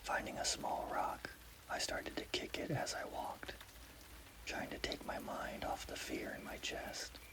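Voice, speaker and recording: A man narrating a scary story as ASMR in a breathy, unvoiced whisper. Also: unhurried, poor audio.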